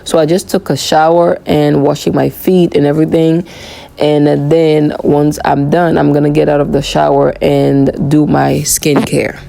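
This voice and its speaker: A woman narrating a voiceover for her morning routine vlog, hoarse from a cold, chatty and relaxed with frequent "um"s. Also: deep voice, measured pace. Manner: relaxed, chatty, many "um" fillers. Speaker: vlogger.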